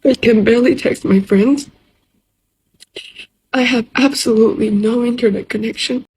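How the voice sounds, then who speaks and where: Breathy young woman with Persian accent. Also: fairly high pitch, fairly even intonation, normal speed, a little mellow, rough recording. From Iran. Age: young adult. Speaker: social media video maker. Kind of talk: personal testimony to camera.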